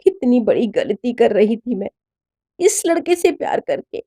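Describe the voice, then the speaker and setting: A woman's voice: a little high, very animated, unhurried, dark tone, husky, exaggerated voice. A woman voicing an emotional, principled teenage schoolgirl in a story reading. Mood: tearful regret.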